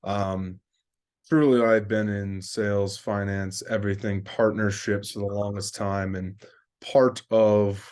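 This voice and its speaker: A man's voice: lowish voice, flat delivery, slow, darkish tone. A man giving an informal spoken review as a sales professional, earnest and rambling with plenty of business jargon.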